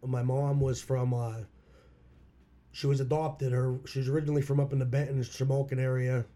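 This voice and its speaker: A hoarse man from Pennsylvania, a local musician, telling stories about his past in a plain-spoken way. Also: medium pitch, flat delivery, a little fast, dark tone. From Schuylkill County, Pennsylvania. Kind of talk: casual interview chat.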